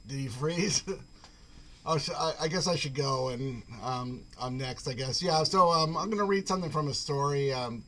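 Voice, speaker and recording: A man's voice: medium pitch, fairly bright tone, slight background noise. Man reading his own fiction, hesitant asides. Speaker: writer reading own short fiction at an online poetry event. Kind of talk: informal literary reading with casual asides. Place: online video call.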